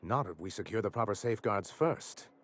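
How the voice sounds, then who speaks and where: A man's voice: lowish voice, lively intonation, fairly quick, a bit bright. An actor playing a flamboyant, vain male corporate executive who talks in a theatrical, florid way full of innuendo. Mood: grandiloquent.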